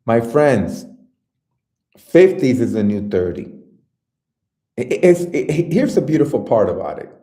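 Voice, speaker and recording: A man's voice: mid-range voice, sing-song, unhurried, pauses often, dark tone, roomy sound. A man giving an earnest, encouraging motivational talk as a life coach, speaking directly to his listeners.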